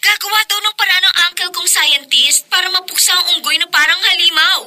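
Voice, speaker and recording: A woman's voice: very high voice, fairly quick, sharp tone, exaggerated voice. An actress with a Filipino accent playing an anxious, loyal young woman in a horror radio drama.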